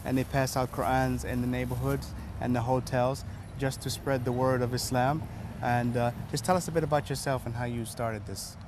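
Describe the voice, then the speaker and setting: A man's voice: medium pitch, fairly even intonation, normal speed. A man hosting an on-location interview as a presenter, speaking outdoors. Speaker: interviewer and show host. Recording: clear outdoor street and traffic noise. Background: street ambience and vehicles.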